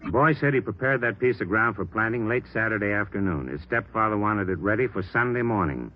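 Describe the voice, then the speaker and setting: An American actor playing a shrewd police captain in a radio crime drama, brisk and authoritative as he briefs his detectives. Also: lowish voice, animated, a little hiss or hum, natural voice. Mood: resolute. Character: shrewd, decisive male police captain giving orders. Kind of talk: 1950s radio drama acting. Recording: dull vintage radio recording.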